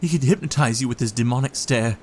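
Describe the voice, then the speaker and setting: A man acts out a haunted husband who survived captivity in a gothic audio drama. He talks haltingly and uneasily at a middle pitch, over a faint low rumble. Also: darkish tone, lightly acted. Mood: haunted, fearful. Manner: halting, troubled. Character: haunted, hesitant young husband, former captive.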